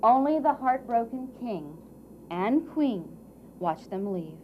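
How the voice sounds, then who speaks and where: A woman narrating a scripted fairy tale for a puppet show in a theatrical way, with dramatic pauses and emphasis. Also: on the high side, sing-song. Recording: muffled, faint background noise.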